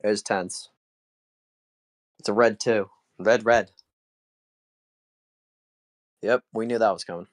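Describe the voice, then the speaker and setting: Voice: mid-range voice, steady tone, moderate pace, no echo. A co-commentator following a card game live in a casual way, often in short, clipped interjections. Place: apartment.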